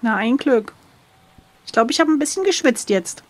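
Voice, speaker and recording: A woman with a slightly high voice plays along in an adventure gamebook. She talks casually, with playful, jokey reactions that lean theatrical. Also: animated, normal speed, no room sound. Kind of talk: casual chat.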